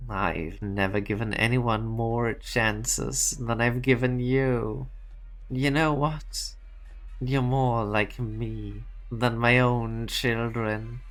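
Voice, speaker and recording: A man voicing a calm, manipulative corporate boss in an amateur fan dub, speaking in a measured, coaxing way. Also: mid-range voice, soft background music.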